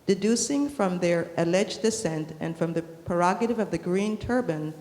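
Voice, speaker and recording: A woman's voice: on the low side, lively intonation, normal speed, darkish tone. A woman reading aloud from a formal historical book as a participant in a class. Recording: echoey hall, long reverb.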